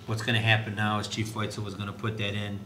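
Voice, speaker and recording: Man, administrator at a public meeting, low-pitched, halting delivery, noisy roomy recording. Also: monotone, pushed and bright. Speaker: school district administrator reporting to board. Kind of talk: school board meeting discussion. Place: indoor board meeting room. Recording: noisy, echoey meeting room with low rumble.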